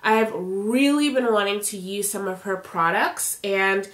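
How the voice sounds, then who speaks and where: A woman's voice: medium pitch, fairly even intonation, a little slow, fairly bright tone. A young woman speaks close to the camera in a casual beauty vlog, her voice thick and nasal from congestion. She keeps it chatty and upbeat, sprinkling in fillers as she walks through each product. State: congested, nasal from a sinus infection. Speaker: beauty vlogger. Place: indoor home filming setup. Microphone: camera at close range, facing the camera.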